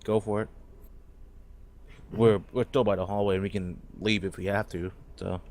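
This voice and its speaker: A man's voice: on the low side, flat delivery, normal speed, darkish tone. A man casually talking tactics as a player in an online role-play game on voice chat.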